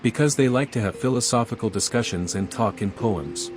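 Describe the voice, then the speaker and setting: Guy playing the cool resolute envoy hero in a game, lowish voice, terse, music clearly behind. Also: lightly acted. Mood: cool, composed. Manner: terse, measured. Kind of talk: video game voice acting.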